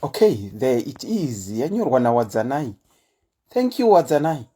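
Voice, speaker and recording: A woman with a Zimbabwean accent and a deep voice, hosting a casual livestream chat and telling stories in a chatty, animated way. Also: moderate pace, husky. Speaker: host.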